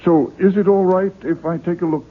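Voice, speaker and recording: An actor playing an earnest, courteous middle-aged book publisher who is easily exasperated, in 1970s radio drama style. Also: male voice, high-pitched, fairly even intonation, a little fast. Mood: businesslike, courteous. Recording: 1970s radio broadcast, narrow phone-like band.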